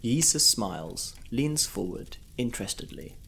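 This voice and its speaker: A man's voice: mid-range voice, big pitch swings, unhurried, gravelly, pushed and bright, a little hiss or hum, heavily acted. A man narrating a scripted audio drama.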